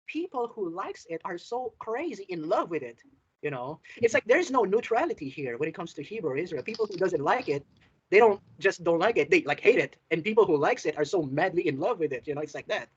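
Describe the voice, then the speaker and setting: A man's voice: sits high, very animated, brisk, slightly rough. A man with a Filipino accent tutoring casually, switching between Tagalog and English and often repeating his phrases. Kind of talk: casual lesson chat, mixing Tagalog and English. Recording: dull lo-fi call audio.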